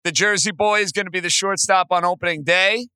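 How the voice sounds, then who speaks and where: A man with a booming voice hosting a sports podcast, excitable and emphatic in short punchy phrases. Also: a little fast, smooth, no echo, clean studio sound. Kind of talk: energetic sports commentary and interview.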